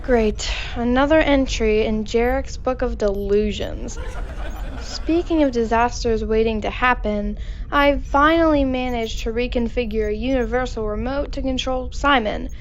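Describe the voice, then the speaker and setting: An actress playing a sarcastic ship engineer with a dry, mocking delivery. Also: female voice, a little high, unhurried, muffled recording, a little hiss or hum.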